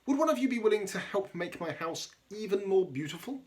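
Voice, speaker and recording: A man voicing a polite, admiring hermit crab in a children's storybook, earnest and gentle, speaking slowly and courteously. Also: high voice, expressive, voice pushed up. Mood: admiring, hopeful.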